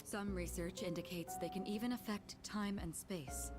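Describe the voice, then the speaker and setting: A woman playing a composed, serious young heiress in an anime game, speaking in a formal, measured, grave manner. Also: mid-range voice, close to an everyday voice. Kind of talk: English anime game voice acting. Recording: music under the voice.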